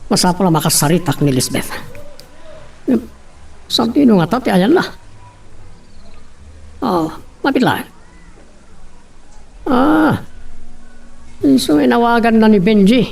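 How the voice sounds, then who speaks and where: A middle-aged man playing an exasperated father keeping the peace in an audio drama, with a creaky voice. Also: high-pitched, expressive, slow pace, pauses often, a little mellow, exaggerated voice. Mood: concerned.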